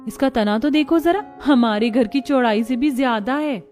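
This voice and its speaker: Woman as a high-voiced helpful young sister-in-law. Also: moderate pace, a little mellow, soft background music, close to an everyday voice. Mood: helpful, chatty. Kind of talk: cartoon story dialogue.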